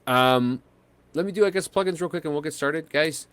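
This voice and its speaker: A man's voice: fairly high pitch, fairly quick, a bit bright, smooth, no echo. A man co-hosting a podcast in casual chat, rambling and hesitant, with many fillers and restarts. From United States. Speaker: podcast co-host.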